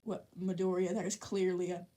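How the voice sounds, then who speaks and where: Woman voicing a dry, lower-pitched teenage boy. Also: flat delivery, normal speed, dark tone, natural voice. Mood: skeptical.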